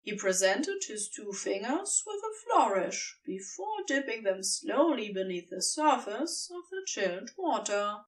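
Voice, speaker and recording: A woman's voice: on the high side, very animated, unhurried, few pauses, recorded from a distance, poor audio. A woman reading scripted story narration as the narrator of an audio fiction piece.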